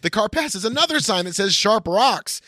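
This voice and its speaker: A man's voice: sits high, animated, normal speed, pushed and bright, no room sound. A man co-hosting a comedy podcast, bantering irreverently and reading a screenplay aloud in a mock-dramatic way, with swearing and interjections. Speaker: podcast co-host.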